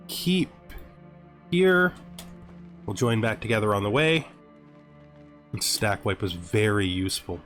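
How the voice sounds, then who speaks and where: A man's voice: fairly low pitch, fairly bright tone. A man hosting a gameplay series, speaking as a narrator and commentator. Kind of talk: gaming commentary with scripted lore narration.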